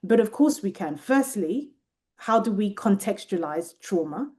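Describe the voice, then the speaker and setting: A British Nigerian woman with a British accent, a researcher talking thoughtfully in an interview and often pausing to find her words. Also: medium pitch, expressive, warm tone. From Nigeria and Britain. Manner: thoughtful, searching for words, many restarts. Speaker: guest researcher. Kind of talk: reflective interview conversation.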